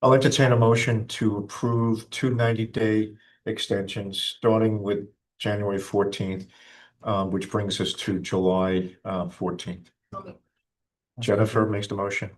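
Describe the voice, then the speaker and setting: Low-voiced man chairing a town meeting. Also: little pitch movement, a little slow, a little hiss or hum, poor audio. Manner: informal, plain-spoken. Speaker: planning board chair. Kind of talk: running a town board meeting.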